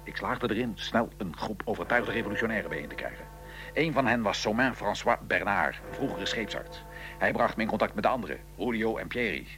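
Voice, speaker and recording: A man's voice: fairly low pitch, animated, music in the background. An actor playing a proud Italian revolutionary assassin of the nineteenth century, recounting his plot in a theatrical, emphatic radio-drama manner. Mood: fervent, proud. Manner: theatrical, emphatic, measured storytelling. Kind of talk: radio drama acting, interview-like dialogue.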